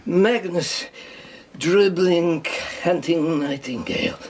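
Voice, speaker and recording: Sardonic lame war refugee, very slow delivery, higher-pitched voice, halting and declamatory, mocking formality, radio drama. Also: male voice, slight background noise. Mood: bitter, feverish. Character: sardonic, battle-worn European refugee with a lame leg.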